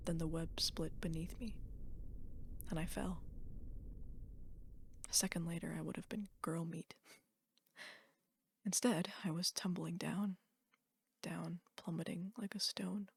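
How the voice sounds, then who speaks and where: A woman giving a dramatic reading of a first-person horror story in a breathy, whispery, deliberate voice. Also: lowish voice, steady tone, dry recording.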